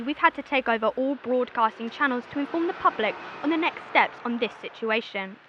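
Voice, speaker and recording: A woman's voice: fairly high pitch, pushed and bright, dull audio. A young woman playing a commanding, impatient figure in charge during an emergency in a radio drama. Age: young adult. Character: commanding military general, bossy and impatient under pressure. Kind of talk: modern radio drama acting. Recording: background noise, outdoor street ambience.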